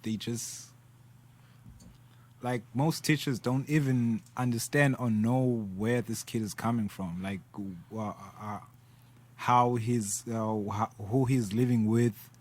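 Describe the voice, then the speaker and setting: A man speaking as a guest in a casual talk, laid-back and halting, mixing in isiXhosa. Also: mid-range voice, fairly even intonation, darkish tone. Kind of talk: casual conversation.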